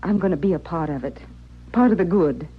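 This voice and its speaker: A woman's voice: fairly low pitch, lively intonation, fairly quick, breathy edge. A woman playing a proud, wounded frontier widow with folksy, rustic phrasing in an old-time radio western. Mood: remorseful, earnest. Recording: dull vintage radio recording.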